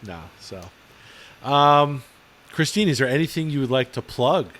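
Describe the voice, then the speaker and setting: A man co-hosting a podcast in relaxed casual chat, halting and hesitant with fillers. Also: on the high side. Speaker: podcast co-host.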